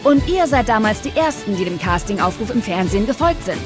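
A woman's voice: mid-range voice, lively intonation, a little fast. A woman narrating a TV documentary from a script in a conversational, playful style. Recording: music bed under narration. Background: background music.

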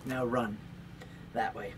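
A woman's voice: rumbling low, fairly even intonation, slow, slight background noise. An actress playing a tough, guarded, suspicious woman on the run in a noir audio drama, speaking in clipped, hard-boiled phrases. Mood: grim, tense. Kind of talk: noir audio drama acting with first-person narration.

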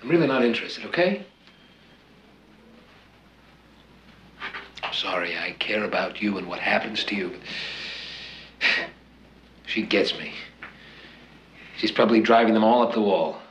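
An actor playing an unemployed husband who talks gruffly and sarcastically. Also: male voice, fairly low pitch, animated, moderate pace, pauses often, fairly bright tone, slight background noise, low-quality recording. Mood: weary. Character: unemployed husband, proud and frustrated. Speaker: out-of-work husband.

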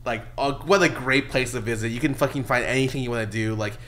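A man's voice: medium pitch, fast, fairly bright tone. A man in his early thirties chatting casually as a podcast host, in a loose, swearing manner. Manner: loose, swearing, filler-heavy. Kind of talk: casual chat. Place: small indoor podcast studio. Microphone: close desk microphone.

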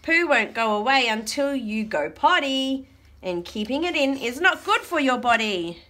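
Bright, lively, high-pitched woman reading to children. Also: measured pace. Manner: expressive, child-directed, questioning and repeating. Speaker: adult reading a picture book aloud to children. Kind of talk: read-aloud storytime with chat to small children. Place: carpeted floor indoors at home. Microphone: camera looking down at the book.

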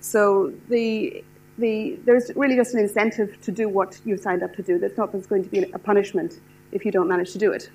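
A middle-aged woman from Ireland with an Irish accent, lecturing a class in a hall, talking fast and eagerly with many fillers and restarts. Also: mid-range voice, level tone, a little mellow, slight background noise. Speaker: lecturer, standards specialist. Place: lecture hall with seated audience.

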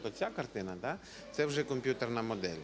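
A man in his forties explaining something informally and haltingly into a reporter's microphone. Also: mid-range voice, sing-song. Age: 40s. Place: exhibition hall. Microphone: handheld reporter microphone. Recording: clear background noise.

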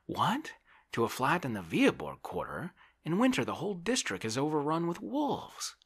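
Storybook landowner voiced by a man: indolent, dithering, plaintive, raised pitch with a bright, husky edge. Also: huge pitch swings, moderate pace, exaggerated voice. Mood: fretful, alarmed. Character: fretful, indolent, helpless Russian landowner man. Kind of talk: audiobook character voice.

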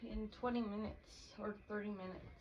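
A woman's voice: mid-range voice, fairly even intonation, moderate pace, close to an everyday voice. A young woman playing a teasing, affectionate girlfriend in a casual, chatty ASMR roleplay. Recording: dull lo-fi mic, clear background noise.

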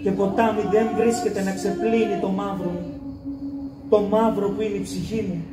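An actor playing a grieving father who mourns the daughter he wronged, in a slow, lamenting stage monologue, recorded from far off in an echoey hall. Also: sits high, steady tone, heavy background noise, close to an everyday voice. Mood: anguished. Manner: slow, anguished, lamenting delivery. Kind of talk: theatrical monologue, stage declamation.

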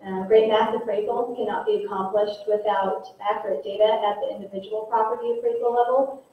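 A woman's voice: medium pitch, steady tone, brisk. A woman in her thirties giving a formal candidate statement into a handheld mic in a large community hall, with frequent ums and uhs. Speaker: county assessor candidate. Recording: echoey hall, distant, phone-like, faint hiss.

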